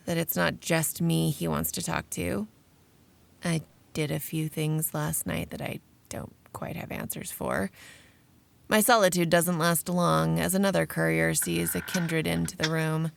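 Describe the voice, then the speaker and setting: A woman's voice: low-pitched, unhurried, creaky, exaggerated voice. A woman playing a sardonic vampire researcher in an audio drama, narrating in a wry, profane, conversational way. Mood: uneasy. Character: Aurora, sardonic young female vampire researcher narrating her exploits. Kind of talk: first-person audio drama narration and dialogue.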